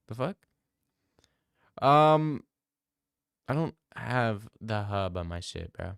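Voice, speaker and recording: Young male streamer, loose profane banter, smooth voice, dry close sound. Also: fairly low pitch, expressive, slow, fairly bright tone, no room sound. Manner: loose, profane banter, reads chat aloud and riffs. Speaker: streamer answering chat. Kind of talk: casual live-stream chat.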